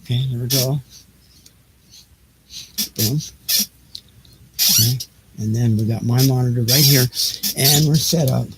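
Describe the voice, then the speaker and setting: A man chatting casually and haltingly, half to himself, as he fiddles with equipment for a live stream. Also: medium pitch, steady tone. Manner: muttering to himself, distracted, halting. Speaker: audiobook reader and live streamer. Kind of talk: casual rambling tech chat while setting up a live stream. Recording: noisy live home-studio recording with clicks.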